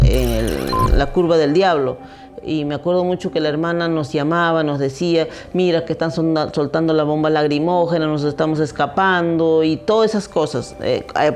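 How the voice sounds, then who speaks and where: A woman organization leader recalls events, sometimes pausing and restarting. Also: sits low, a little mellow. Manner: recounting events with hesitant restarts. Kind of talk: documentary interview.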